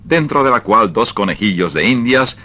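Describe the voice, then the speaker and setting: A man's voice: on the low side, animated, moderate pace, pushed and bright. A man narrating a radio play in a scripted, dramatic storytelling style.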